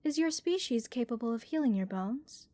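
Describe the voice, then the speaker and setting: A woman playing a gentle, curious alien researcher in a soft-spoken ASMR roleplay, measured and reassuring, with clinical interest. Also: on the high side, lively intonation, dry recording, lightly acted. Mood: attentive, clinical. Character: gentle, curious female alien researcher examining a captured human. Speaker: voice actor in audio roleplay.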